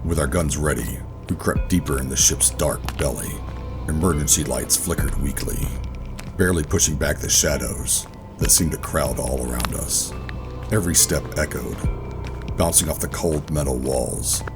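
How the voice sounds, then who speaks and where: A man playing a very deep-voiced, weary operative. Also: moderate pace. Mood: ominous, tense. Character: weary, guarded male government operative narrating his own ordeal. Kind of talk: sci-fi horror audio drama narration and dialogue. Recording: music under the voice. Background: music.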